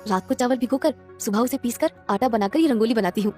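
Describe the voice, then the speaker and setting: A woman voicing a humble, devout, patient poor young woman in a cartoon moral story, speaking gently and earnestly. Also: a little high, quick pace, faint music behind, natural voice.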